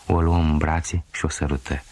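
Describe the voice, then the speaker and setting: A man narrating a children's story as an expressive storyteller. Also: a bass voice.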